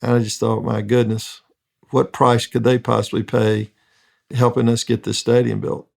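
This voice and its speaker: A man's voice: medium pitch, flat delivery, moderate pace, creaky, a little mellow. A man speaking as a construction project manager, telling earnest, reflective stories in an interview.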